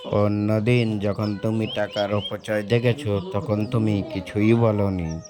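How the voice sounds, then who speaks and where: A man playing a stern, principled farmer father in a moral cartoon, speaking slowly and solemnly as he instructs his son. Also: lowish voice, level tone. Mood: earnest. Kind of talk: moral story cartoon dialogue.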